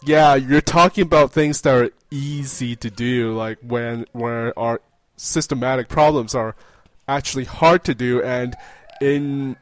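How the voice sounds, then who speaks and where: A man in a casual political discussion as an interview guest, speaking haltingly and restarting phrases as he builds his point. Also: mid-range voice, fairly even intonation.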